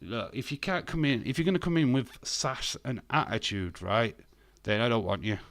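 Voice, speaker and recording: A man in his fifties chats loosely at middle pitch, reading viewer comments and firing back blunt, sarcastic answers. He swears without a second thought. His voice sounds close and dry, with no room around it. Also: moderate pace, no echo. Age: 50s. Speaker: live stream host. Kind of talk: casual chat reading live comments.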